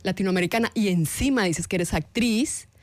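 A woman's voice: mid-range voice, a little fast, no room sound. A woman with a Colombian accent, a guest actress in an interview, talking in a reflective, argumentative way and often ending her points with rhetorical questions. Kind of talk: radio-style interview conversation.

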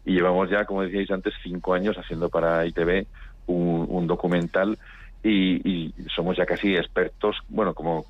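A man's voice: low voice, fairly even intonation, moderate pace, no room sound. A man from Pamplona with a northern Spanish accent, chatting casually and anecdotally as an interviewed filmmaker over a phone-like radio link. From Pamplona, Spain. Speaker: documentary filmmaker being interviewed.

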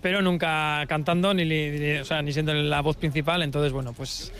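A man's voice: on the high side, fairly even intonation, moderate pace, fairly bright tone. A man nearing forty with a Spanish accent from Spain, chatting casually as an interview guest. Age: approaching forty. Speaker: interviewed musician and scientist. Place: outdoors at a festival site.

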